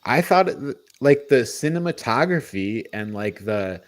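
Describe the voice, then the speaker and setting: A man speaks as a podcast co-host in a relaxed panel chat. He thinks out loud, hedging his opinions in informal language, with a mid-pitched voice. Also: measured pace. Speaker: podcast co-host reviewing movies.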